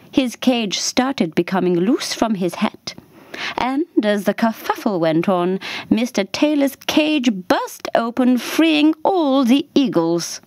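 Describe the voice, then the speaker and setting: A woman narrating a scripted children's story for radio in a measured, deliberate storybook manner. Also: medium pitch, darkish tone, no echo.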